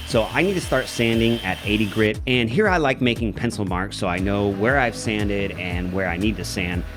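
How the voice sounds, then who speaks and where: A middle-aged man with a fairly low voice explains his workshop steps in a casual, practical way. He teases and jokes in an offhand manner, and soft music plays faintly behind him. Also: moderate pace. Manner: jokey, teasing, matter-of-fact. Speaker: woodworking video maker. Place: woodworking shop.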